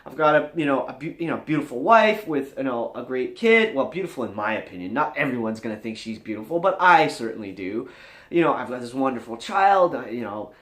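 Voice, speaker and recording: A man's voice: a little high, a bit bright, reverberant. A man talking candidly to viewers as a vlog host, earnest, confessional and emphatic, in a motivational style.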